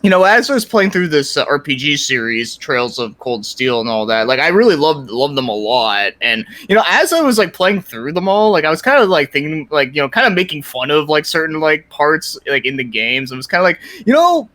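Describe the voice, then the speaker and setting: A man's voice: on the high side, animated, brisk, few pauses, bright, forceful tone, dry recording. A man chatting casually as a podcast guest, rambling with lots of "like" and some swearing. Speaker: guest, creator of a fan parody series.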